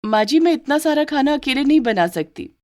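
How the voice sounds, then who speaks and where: A woman voicing an overworked young daughter-in-law in a cartoon story, high and protesting. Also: lively intonation. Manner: high, protesting, quick retorts. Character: overworked young daughter-in-law treated as a maid, put-upon.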